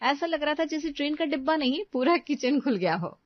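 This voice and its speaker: A woman narrating a moral story in a smooth, scripted storytelling way. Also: sits high, animated, a little fast, dry recording. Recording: dull dry voice-over.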